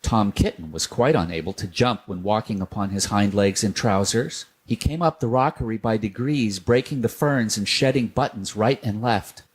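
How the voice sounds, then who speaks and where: Husky low-voiced man reading aloud. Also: moderate pace, hardly any pauses. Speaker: storyteller reading a children's book. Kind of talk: children's story reading.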